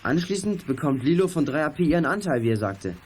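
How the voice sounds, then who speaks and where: Keen, higher-voiced boy detective. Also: big pitch swings, fairly quick, background noise. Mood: thoughtful, confident. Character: keen, confident boy detective leading his friends. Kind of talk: 1980s German children's radio play acting.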